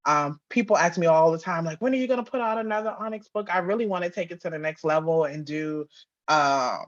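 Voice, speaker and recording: A woman's voice: fairly low pitch, brisk. Woman chatting candidly, dull lo-fi sound. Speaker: guest, author and audio drama writer-producer. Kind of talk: casual, conversational interview answers.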